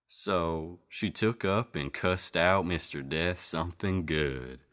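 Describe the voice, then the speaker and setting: Deep-voiced folksy storyteller played by a man. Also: slow pace, fairly bright tone. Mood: folksy, emphatic. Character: folksy Old West storyteller, unhurried male townsman. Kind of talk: scripted narration of a Western folk tale.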